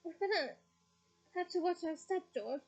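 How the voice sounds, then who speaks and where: A woman's voice: very high voice, animated, moderate pace, mellow, muffled recording, voice pushed up. A woman voicing a mischievous teenage boy who teases in a falsely anxious tone. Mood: teasing, mocking. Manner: teasing, mock-anxious.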